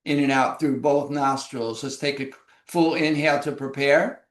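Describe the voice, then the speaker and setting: A man leading a yoga class through breathing exercises, giving slow, calm, measured cues. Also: medium pitch, reverberant. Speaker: yoga instructor. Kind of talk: guided breathing instruction.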